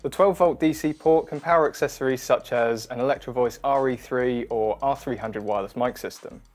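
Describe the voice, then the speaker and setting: A man in his thirties narrates a scripted product walkthrough. His delivery is clear and instructional, at a middling pitch, with music playing behind him. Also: fairly quick. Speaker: product demonstration presenter. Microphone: wireless lavalier or close studio mic. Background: background music.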